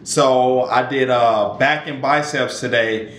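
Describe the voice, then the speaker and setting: A man in his thirties casually talking to camera about fitness from his home kitchen, relaxed and conversational with frequent fillers and restarts. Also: medium pitch, slow. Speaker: fitness vlogger. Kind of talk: casual vlog talk to camera. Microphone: camera mic at arm's length. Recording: echoey room, faint background noise.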